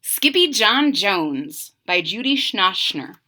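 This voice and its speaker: A woman's voice: medium pitch, big pitch swings, slow pace, bright, forceful tone. A woman doing an interactive children's read-aloud, speaking directly to young listeners with questions and asides. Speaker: storytime reader.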